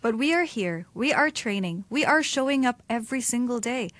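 A woman's voice: fairly high pitch, expressive, moderate pace, a bit bright, no echo. Punchy woman host, dry close sound. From Hong Kong. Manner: punchy, short emphatic phrases. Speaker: podcast co-host. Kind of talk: interview hosting.

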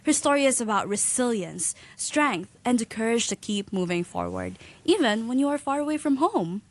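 A woman's voice: a little high, very animated, moderate pace. A woman co-hosting a podcast, presenting segments and questions in a scripted interview style. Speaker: podcast co-host.

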